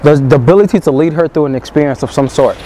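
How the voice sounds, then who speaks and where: A young man who coaches dating, talking in a low, measured way, sometimes slowing right down. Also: mellow, rough recording. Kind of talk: street pickup talk and to-camera advice. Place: busy city square outdoors. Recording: street noise and traffic behind.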